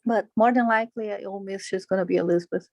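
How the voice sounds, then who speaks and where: A woman's voice: mid-range voice, steady tone, racing, a little mellow, no echo. A woman from Brazil with a Brazilian Portuguese accent, a parent speaking on a video call in a hesitant, stumbling way.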